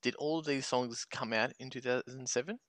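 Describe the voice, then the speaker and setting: A man's voice: medium pitch, fairly even intonation, brisk, fairly bright tone, dry recording. A man in casual banter as a quiz contestant, thinking aloud bluntly and repeating himself. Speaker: podcast co-host and quiz contestant.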